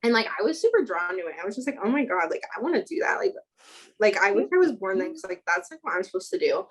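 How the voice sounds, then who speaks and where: A woman's voice: medium pitch, sing-song, fast talker, husky. A woman in her twenties from Chicago, a student midwife telling her story as a podcast guest in a chatty way, full of "like" fillers. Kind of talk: casual interview chat.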